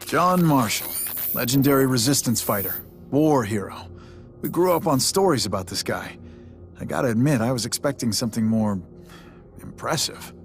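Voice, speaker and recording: An actor playing a tough, determined young ranger in a video game, terse and blunt, with an American accent. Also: male voice, medium pitch, expressive, normal speed, faint music behind, close to an everyday voice. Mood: grim. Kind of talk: video game cutscene acting.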